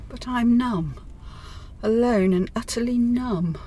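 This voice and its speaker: A woman's voice: fairly high pitch, slow, mellow, husky. An actress playing a withdrawn, despairing middle-aged career woman, terse and guarded, in a radio drama. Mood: numb, despairing.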